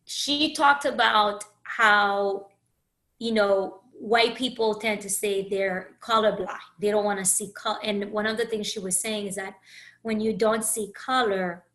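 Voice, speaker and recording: Woman from haiti hosting her podcast, bright voice, thinking out loud, lots of pauses and restarts. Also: medium pitch. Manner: earnest, searching, with frequent restarts and pauses. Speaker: podcast host and coach. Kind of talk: reflective solo podcast monologue.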